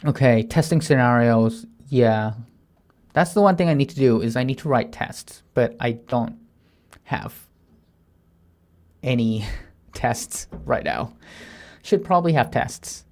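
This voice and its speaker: Guy in his 30s coding on stream, mumbles stuff half to himself, trips over his words a lot, middle pitch voice. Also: expressive. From Thailand. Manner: halting, trips over words and restarts when nervous; soft murmured asides while reading. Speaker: software developer streaming. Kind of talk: thinking aloud while coding, reading plan text.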